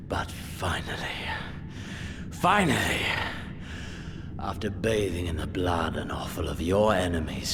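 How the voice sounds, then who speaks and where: A grandiloquent warlord villain from a video game, hungry for the hunt and aristocratic in bearing. He speaks very slowly and theatrically, savouring each phrase, with wide dramatic swings in pitch. Noise and music sit behind the voice. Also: exaggerated voice. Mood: menacing, satisfied.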